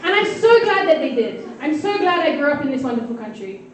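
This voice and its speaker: A woman's voice: high voice, sing-song, fast, recorded from a distance, heavy background noise. A woman from Kenya doing a stand-up comedy set, playfully teasing her audience. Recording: echoey hall heard from afar with crowd. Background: audience laughter and crowd murmur.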